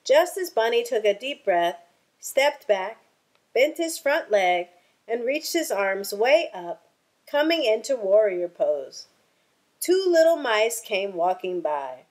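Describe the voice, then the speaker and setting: A woman reading a children's picture book aloud in a deliberate, expressive storytelling manner. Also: on the high side.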